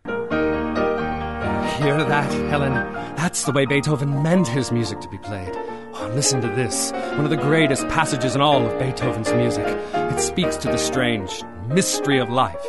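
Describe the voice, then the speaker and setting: An actor playing a haunted, fearful concert pianist in an old-time radio horror drama, anguished and melodramatic. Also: male voice, mid-range voice, unhurried, loud music behind the voice, close to an everyday voice. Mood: frustrated, despairing. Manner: anguished, melodramatic, swinging from hushed to outbursts.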